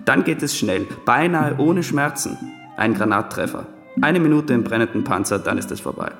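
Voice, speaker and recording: An actor in a radio drama playing a mad murderer speaking from beyond death, detached and fatalistic. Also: male voice, medium pitch, lively intonation, normal speed, creaky, fairly bright tone. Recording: long reverb, guitar music behind.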